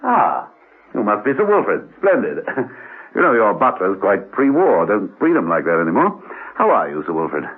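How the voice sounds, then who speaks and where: An actor playing an urbane, unflappable British gentleman agent in a radio drama, jaunty, glib and politely pushy. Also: lowish voice, huge pitch swings, moderate pace, slightly rough, heavily acted. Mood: breezy, jaunty. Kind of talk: classic radio drama acting. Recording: narrow-band old radio recording.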